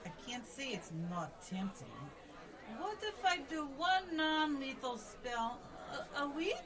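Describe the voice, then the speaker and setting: A dry, skeptical witch who loves curses played by a woman. The delivery is slow and lilting, comedy radio play style, over clear crowd chatter. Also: high-pitched, sing-song, exaggerated voice. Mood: wry. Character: skeptical spellcaster fond of curses.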